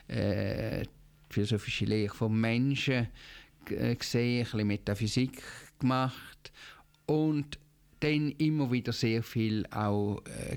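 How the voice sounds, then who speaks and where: Older guy, zurich swiss german accent, smooth clear voice, slow and hesitant, lots of ums. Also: mid-range voice, darkish tone. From Zurich, Switzerland. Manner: measured, hesitant with frequent fillers. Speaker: guest, Jesuit professor. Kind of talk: radio interview answers.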